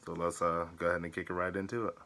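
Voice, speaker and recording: A young American man with a deep but forceful, bright voice, stuffed up from a head cold. He chats casually to his viewers as a reaction vlogger. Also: little pitch movement, rapid-fire, gravelly. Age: 20s. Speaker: YouTube reaction host. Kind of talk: casual vlog chat and reactions. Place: small wood-panelled bedroom.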